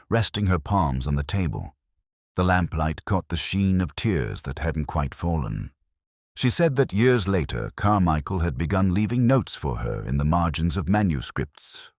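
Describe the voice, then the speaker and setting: A man with an extremely low voice narrates a detective story in the first person. His delivery is measured, solemn and literary, with lively rises and falls in pitch. The sound is dry and close, with very little room on it. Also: dry recording. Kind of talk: scripted first-person audio drama narration.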